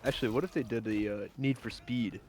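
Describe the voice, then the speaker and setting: A man's voice: mid-range voice, fairly even intonation, fast. A man taking part in a casual round table, mulling his answers aloud in a hesitant way. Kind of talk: casual chat.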